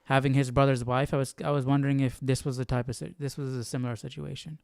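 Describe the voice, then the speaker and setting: A man's voice: medium pitch, little pitch movement, fast, creaky, noisy background. A man hosting a Bible study stream, casually reading verses and musing aloud with questions about them.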